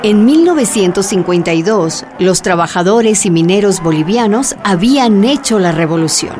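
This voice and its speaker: A woman's voice: medium pitch, expressive, normal speed, crowd noise, noisy background, lightly acted. A woman performing as the solemn storyteller of a historical radio drama, in scripted narration. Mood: measured, dramatic.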